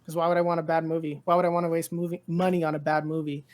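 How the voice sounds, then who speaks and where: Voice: mid-range voice, little pitch movement, very fast, a little mellow. A podcast co-host chatting casually about pop culture, rambling and often repeating phrases.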